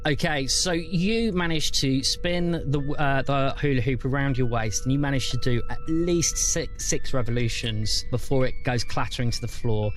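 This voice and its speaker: A man's voice: medium pitch, moderate pace, few pauses, soft background music. A man narrating a tabletop roleplaying game as game master.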